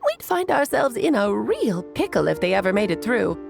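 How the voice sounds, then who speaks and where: A woman's voice: mid-range voice, sing-song, moderate pace, vocal fry, over music. A woman voicing a playful, eccentric, theatrical adult witch in a video game, with a whimsical manner. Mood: breezy explaining.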